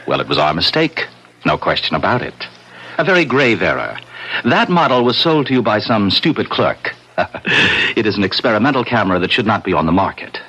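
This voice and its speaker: An actor playing a smooth-talking, quietly menacing salesman with a glib, over-familiar manner in a 1970s radio drama. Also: lowish voice, very animated, brisk, heavily acted, rough recording. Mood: slick, persuasive.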